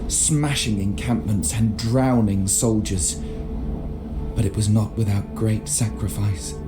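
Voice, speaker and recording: An actor playing a solemn medieval chronicler narrating a game's campaign journal in a grave, measured way. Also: male voice, mid-range voice, natural voice. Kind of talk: scripted dramatic game narration. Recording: music behind narration.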